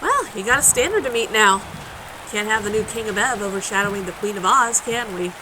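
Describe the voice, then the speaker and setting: A woman playing a spirited, warm-hearted girl adventurer in an audio drama, conversational, wry and earnest. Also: mid-range voice, lively intonation, brisk, background noise, lightly acted. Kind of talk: naturalistic audio drama acting.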